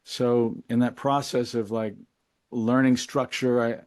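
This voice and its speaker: A middle-aged man talking reflectively as an interview guest, in a halting, musing, colloquial way about his creative work. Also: medium pitch, level tone, a little mellow, no room sound. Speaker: interview guest, musician and memoir author.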